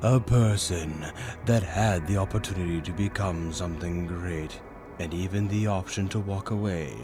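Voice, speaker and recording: A man voicing a flamboyant, sinister demon tempter in a roleplay monologue, taunting the listener with theatrical, drawn-out emphasis. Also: deep voice, natural voice. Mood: menacing. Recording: background music under the voice. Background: orchestral waltz-style music.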